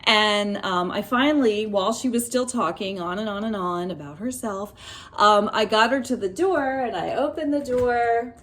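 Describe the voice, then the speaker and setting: A woman's voice: medium pitch, moderate pace, a bit bright. Chatty woman reviewing books casually. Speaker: book reviewer vlogger. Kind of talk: casual chatty book review and personal storytelling.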